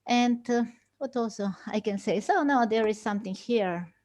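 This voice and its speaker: A woman introducing herself from her kitchen on a video call, speaking slowly with many pauses. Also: a little high, a little mellow. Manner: slow, many fillers. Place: home kitchen.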